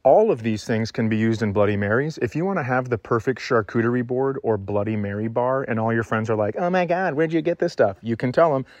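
A man talks like a comedian hosting a casual podcast. His voice sits in a middle pitch and his delivery is teasing and effusive. The sound is dry and close. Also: expressive, fairly quick, hardly any pauses, no room sound, lo-fi sound.

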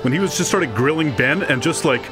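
A man's voice: fairly high pitch, expressive, a little fast, music in the background. Casual, enthusiastic man chatting pop culture. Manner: enthusiastic, rambling, colloquial. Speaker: co-host of an entertainment review show.

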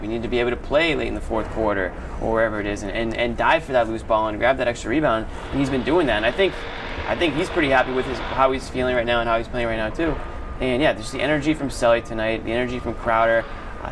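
A man's voice: medium pitch, background noise. A man co-hosting a sports show, giving casual, emphatic post-game basketball commentary and often repeating himself for stress. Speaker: sports podcast co-host.

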